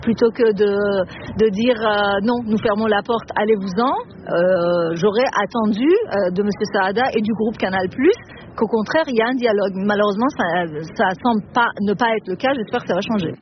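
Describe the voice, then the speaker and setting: A woman of Iranian origin, a film director, gives her view in a recorded interview clip, often pausing to search for the right words. The sound is a little muffled, with faint hiss behind her. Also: medium pitch. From Iran.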